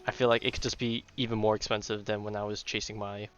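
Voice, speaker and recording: A man's voice: on the low side, flat delivery, fast, a bit bright. A man streaming a video game, chatting casually and thinking aloud in a rambling way, often repeating his words. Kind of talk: casual livestream gaming chat. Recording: dull lo-fi mic, game music behind. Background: video game music.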